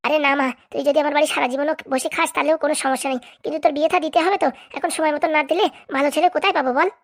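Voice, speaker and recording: Woman acting as middle-aged mother, comedy cartoon, high voice, rapid, nagging, practical, insistent. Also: steady tone. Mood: firm, warning. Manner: nagging, repeatedly checking she is understood. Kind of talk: Bengali comedy cartoon dub.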